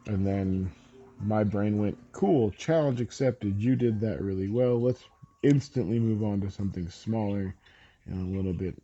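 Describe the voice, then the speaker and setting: Stuffy low-voiced man, gravelly and relaxed. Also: normal speed, highs cut off. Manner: relaxed, rambling with many ums. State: stuffy, congested. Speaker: miniature painting channel host. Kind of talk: casual tutorial chat while painting.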